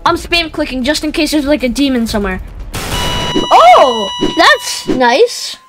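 Female game streamer, very high voice, excitable, slangy and repetitive, music underneath. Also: slow. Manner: excitable, exclaiming and repeating phrases. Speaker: gaming video host. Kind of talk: excited gameplay commentary with casual slang.

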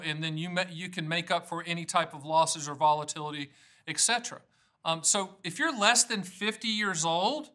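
A man's voice: sits high, pushed and bright. An American man in his fifties presenting an informal explainer to camera as host, emphatic, folksy and plain-spoken. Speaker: annuity agent hosting an educational video series.

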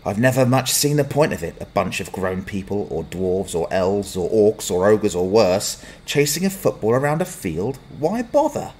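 A man's voice: lowish voice, very animated, moderate pace, few pauses, a little mellow, slight background noise. Man playing a weary, sardonic young adventurer. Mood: low, weary. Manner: blunt, sardonic. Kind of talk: dramatized fantasy audiobook acting.

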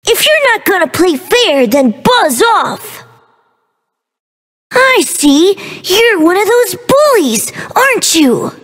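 A woman's voice: very high voice, sing-song, slow pace, fairly bright tone, in a large hall, heavily acted. A woman voicing a playful, innocent baby dinosaur for a video game, with a childlike, bubbly, exaggerated delivery. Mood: upset, defensive. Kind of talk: video game character voice lines.

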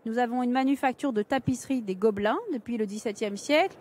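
Woman curator on a panel, a bit high voice, handheld mic, explains step by step with lots of "euh". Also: fast talker, darkish tone. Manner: explanatory, uses metaphors, hesitant fillers. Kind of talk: conference panel talk. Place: large conference stage indoors.

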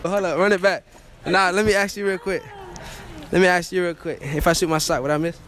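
A man's voice: sits high, steady tone, a little fast, a bit bright, a little hiss or hum. A teenage man hosting a joking street interview in a shopping mall with a handheld mic, teasing and boastful. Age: teens. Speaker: host.

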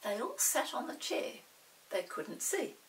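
A woman in her sixties narrating a children's story expressively, in a dramatic, deliberate manner. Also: on the high side, big pitch swings, recorded from a distance. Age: 60s. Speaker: storybook reader. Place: small indoor set with dark backdrop.

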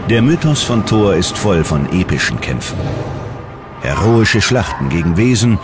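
A man's voice: low voice, very animated, measured pace. A man reading scripted documentary narration in a dramatic, emphatic manner. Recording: loud music behind the voice. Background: loud music.

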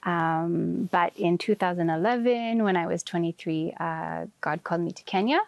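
A woman in her thirties from Canada, telling her life story as an interview guest in an earnest, gentle way with many soft fillers. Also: on the low side, normal speed, gravelly. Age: 30s.

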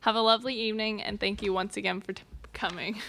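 A woman's voice: mid-range voice, steady tone, fast talker, a bit bright, a little hiss or hum, close to an everyday voice. A young woman playing a polite, gracious exhibition announcer, speaking formally in a student radio play. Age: young adult. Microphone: stage microphone on stand.

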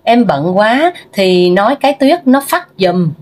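A woman's voice: mid-range voice, very animated, unhurried. A woman reading aloud, voicing a fussy, nagging middle-aged male office boss in an audiobook.

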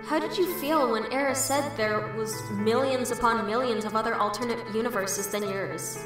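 A woman's voice: on the high side, moderate pace, natural voice. A woman voicing an unseen, sympathetic fan who reads out questions to the hero in a comic dub. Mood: curious. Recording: heavy echo over background music.

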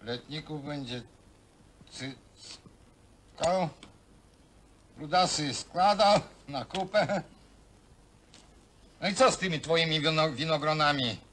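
An actor playing a coarse, teasing worker in a men's hostel, drawling in a naturalistic film drama. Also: male voice, on the high side, slow, pauses often, fairly bright tone. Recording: noisy old film soundtrack.

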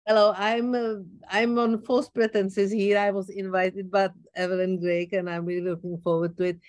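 A woman's voice: mid-range voice, flat delivery, normal speed, a little mellow, no echo. An older woman, a retired doctor who grew up Czech, chatting wryly on a video call from her garden with a Czech accent. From Czech Republic. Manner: chatty, wry, with frequent restarts. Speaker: meeting participant. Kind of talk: casual video call introduction. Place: outdoor garden. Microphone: laptop on a video call.

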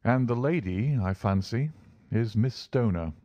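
A man voicing a shrewd, commanding English gentleman detective, brisk, courteous and authoritative, in a storybook reading. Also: mid-range voice, expressive, no room sound, highs cut off, close to an everyday voice. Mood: assured, courteous.

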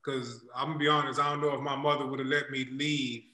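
A man co-hosting a podcast over a video call, asking questions in a chatty, relaxed way. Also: mid-range voice, fast, reverberant. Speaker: podcast co-host. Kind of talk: casual interview questions. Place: indoor room. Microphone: video call webcam mic.

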